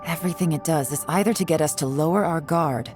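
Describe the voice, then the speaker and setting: A woman voicing a cool, shrewd female investigator in a video game, measured, composed and knowing. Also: sits low, close to an everyday voice. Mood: composed, analytical. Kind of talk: English game dub voice acting. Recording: game music behind the voice.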